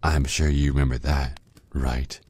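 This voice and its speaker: ASMR roleplay casting: jealous male vampire ex, acted by a man, extremely low dark voice, slow seductive taunts. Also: flat delivery, husky, exaggerated voice. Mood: smug, menacing. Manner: slow, teasing, drawn-out menacing murmur.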